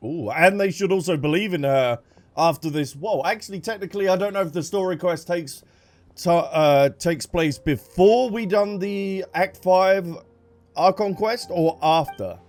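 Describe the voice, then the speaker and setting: A man's voice: high voice, unhurried. A man giving casual, reactive commentary as he plays through a game's story. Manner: chatty, reactive, exclamatory. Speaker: game streamer reacting to story dialogue.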